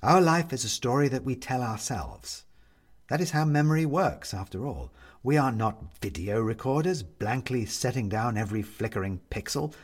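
Expressive male storyteller, widely swooping pitch. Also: moderate pace. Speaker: audiobook narrator.

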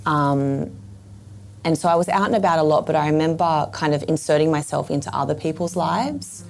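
A woman in her thirties speaks in a measured, reflective way. Her voice is very low and croaky, with a gravelly fry. A faint hiss sits under the recording. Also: darkish tone. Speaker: guest. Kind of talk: reflective conversation.

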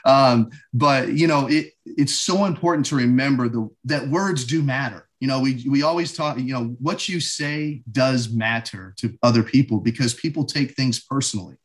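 A man in his forties from the United States speaks as a guest in a casual interview. He talks in a reflective, unhurried way and fills his sentences with "you know". His pitch sits in an ordinary middle range. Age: 40s.

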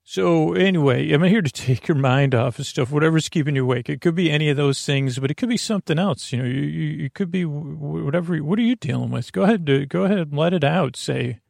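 A man hosting a sleep podcast in a gravelly voice, with a meandering, stammering, reassuring bedtime monologue that keeps losing its thread. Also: a little high, fast talker, few pauses, a little mellow, no echo, studio quality. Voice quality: creaky, gravelly.

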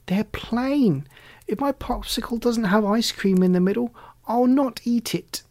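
A woman's voice: on the high side, measured pace, dark tone, pitched above the performer's own voice. A woman voicing a young girl kitten who is dramatic, whiny and easily upset, in a children's storybook. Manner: whiny, exclamatory.

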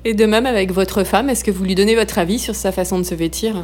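A woman's voice: medium pitch, fast talker, a little mellow, slight background noise. Woman interviewer, lightly teasing. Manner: lightly teasing, laughs easily. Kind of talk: interview questions.

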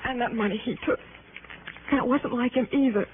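Actress as anxious young wife: high, pleading, halting voice, melodramatic vintage radio drama, tinny broadcast sound. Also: female voice, natural voice. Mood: earnest, defensive. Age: young adult. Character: frightened, earnest young wife of a convict. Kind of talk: 1960s radio drama acting. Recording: tinny 1960s radio broadcast, faint noise.

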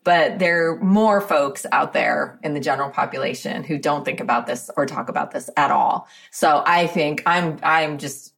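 A woman's voice: sits low, normal speed, vocal fry, hardly any pauses. A woman in her fifties hosting a podcast, speaking encouragingly and affirming her guest often. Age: 50s. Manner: encouraging, many affirming backchannels. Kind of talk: casual conversation.

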